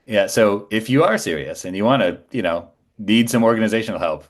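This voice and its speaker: A man's voice: on the low side, lively intonation, a little fast. A man in his forties speaking as an interview guest, telling anecdotes casually and with self-assurance. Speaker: podcast guest, voice actor.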